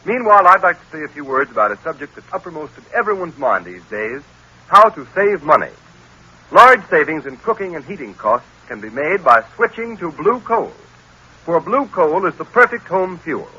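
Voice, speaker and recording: A man's voice: on the high side, big pitch swings, normal speed, bright, forceful tone, slightly rough, dull audio. A man with an American accent announcing in formal, emphatic, salesmanlike style, as in a 1930s or 1940s radio commercial. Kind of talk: vintage 1930s-1940s radio advertising read.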